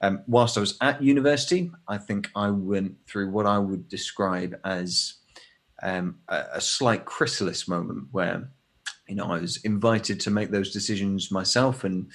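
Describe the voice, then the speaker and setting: Gravelly-voiced English man in his thirties. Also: lowish voice, fairly even intonation, moderate pace. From England. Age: 30s. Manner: thoughtful, with many fillers and restarts. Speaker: podcast guest. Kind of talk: casual conversation.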